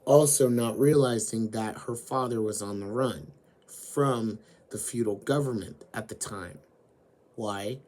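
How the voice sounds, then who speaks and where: A man with a hoarse voice, slowly retelling an anime story as host of his own channel. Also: mid-range voice, a little mellow. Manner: slow, deliberate storytelling with frequent fillers.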